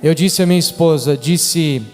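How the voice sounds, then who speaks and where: A man with a Brazilian accent reading a conversational voice-over translation of a testimony in a measured way. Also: fairly high pitch, a little mellow, in a large hall, soft background music. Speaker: voice-over interpreter.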